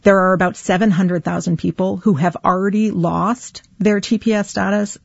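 A woman speaking as a legal advocate guest on a radio interview, slow and deliberate with pauses. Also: medium pitch, fairly even intonation, vocal fry, slightly rough, dry recording, muffled recording. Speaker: civil liberties lawyer guest.

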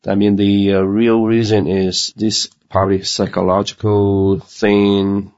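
An older man whose first language is Mandarin talks in a halting way with many fillers. His voice is very deep and gravelly. The recording sounds dull and muffled. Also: flat delivery, darkish tone. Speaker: interviewee. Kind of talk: casual recorded interview.

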